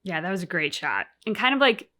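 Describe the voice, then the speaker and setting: Bright-voiced enthusiastic woman chatting casually. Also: on the low side, very animated, fairly quick. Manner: enthusiastic, informal, peppered with "like". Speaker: reaction channel co-host. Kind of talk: casual reaction chat about a video game.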